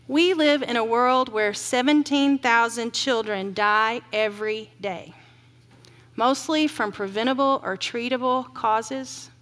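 A middle-aged woman giving an earnest keynote sermon from a podium on a large auditorium stage, slowing down to stress grim facts. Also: a little high, a bit bright. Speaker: keynote speaker. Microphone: podium mic. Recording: long hall reverb.

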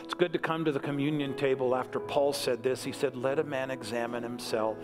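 A man's voice: mid-range voice, steady tone, a little fast, over music. An American man in his sixties preaching as a pastor in a conversational, folksy way, with short repeated phrases. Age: 60s. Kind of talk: conversational sermon and altar call. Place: large church sanctuary, on stage before congregation.

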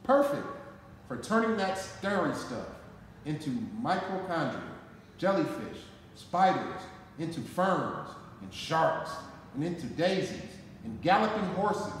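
A man's voice: a little high, big pitch swings. American man reading dramatically in an echoey hall. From Detroit, United States. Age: 40s. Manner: dramatic, deliberate phrasing with emphatic repetition. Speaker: firefighter reading a picture book aloud. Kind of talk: expressive children's story read-aloud. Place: concrete-floored fire station garage, indoors. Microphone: camera a short distance away. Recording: echoey hall, noticeable background noise.

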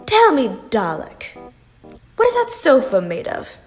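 A woman playing a composed phantom in a sci-fi audio drama, reasoning in a measured, pointed and persuasive way. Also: high voice, wildly swooping pitch, faint music behind, full character voice. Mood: calm, persuasive.

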